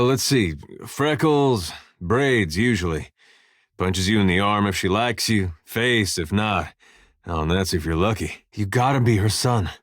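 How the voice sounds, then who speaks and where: Folksy american farm boy fighter, kinda low voice, dry humor, video game line, close dry sound. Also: no echo. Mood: casual, fond. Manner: folksy, laid-back drawl, dry humor. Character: easygoing farm-boy soldier man, wry, plainspoken, loyal. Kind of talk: scripted video game dialogue.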